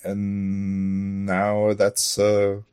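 A man speaking as an interview guest in casual chat, telling things informally and a little haltingly. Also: fairly low pitch, completely flat delivery, darkish tone, smooth. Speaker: interview guest, audio drama writer.

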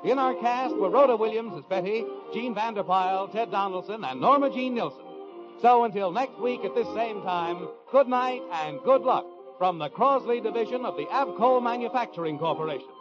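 A man's voice: high voice, animated, moderate pace. An American man doing scripted 1950s radio announcing over music, on a dull old broadcast recording. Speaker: program announcer, narrator. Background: orchestral music.

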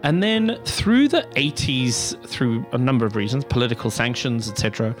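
A man's voice: mid-range voice, animated, normal speed, faint music behind. A middle-aged man with a South African accent, answering interview questions thoughtfully with many fillers and restarts. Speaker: executive director of a pride center.

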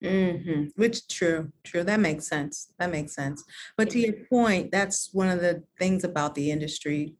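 A woman's voice: lowish voice, measured pace, slight background noise. A woman from Connecticut, a designer being interviewed, speaking earnestly and often repeating words as she gathers her thoughts. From United States (Connecticut). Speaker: designer, guest. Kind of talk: reflective interview conversation.

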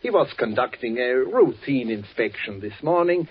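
An actor playing a genial, businesslike factory boss in a 1940s radio drama. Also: male voice, medium pitch, sing-song, normal speed, breathy edge. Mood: hearty, businesslike. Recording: muffled vintage 1940s radio recording.

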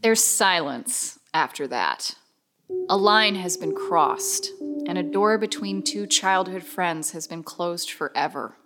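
A woman narrating a horror radio drama as a solemn storyteller, in a measured, dramatic manner. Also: on the low side, expressive. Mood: solemn, suspenseful. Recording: music bed under narration.

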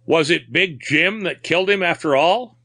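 A man's voice: on the high side, big pitch swings, measured pace, fairly bright tone, pitched above the performer's own voice. A man voicing a baffled, insistent man in a story who questions a witness in a pleading, exasperated manner. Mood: bewildered.

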